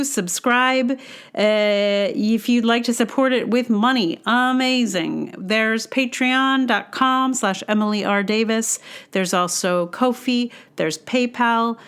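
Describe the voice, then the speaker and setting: A woman hosting a podcast alone, talking casually and haltingly as she thinks aloud in loose, informal phrases. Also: on the high side, fairly bright tone, smooth. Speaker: podcast host. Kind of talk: casual solo podcast talk.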